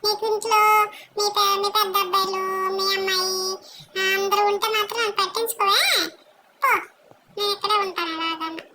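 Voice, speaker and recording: A woman voicing a cheeky, sulky little female pet dog in a squeaky childlike baby voice, talking back sassily. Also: fairly even intonation, a little fast, long pauses. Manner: sassy, whiny, talks back. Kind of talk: pet voice-over for a home vlog. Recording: loud background noise.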